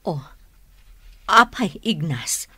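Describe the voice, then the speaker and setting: A woman acting in a radio drama as an anxious, soft-spoken companion, talking in a hushed, worried way. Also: fairly low pitch, sing-song, extremely slow, breathy edge, no echo, full character voice.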